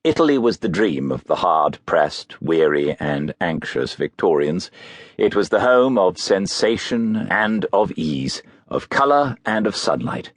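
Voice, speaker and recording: A man narrating a biography audiobook in a measured, literary storytelling manner. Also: sits low, sing-song, no echo.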